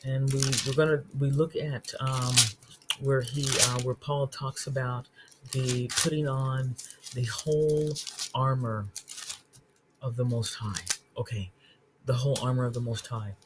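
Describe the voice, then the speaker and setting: A woman's voice: extremely low pitch, steady tone, very noisy. A woman giving an informal Bible study talk, earnestly explaining verses, often checking in with "okay?" and restarting her sentences. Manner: restarts phrases and reads scripture aloud slowly. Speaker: online Bible teacher.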